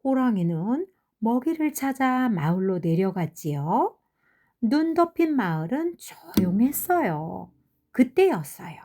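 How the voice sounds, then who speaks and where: A woman narrating children's fairy tales in an expressive, measured storybook manner. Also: a little high. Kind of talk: animated fairy tale narration.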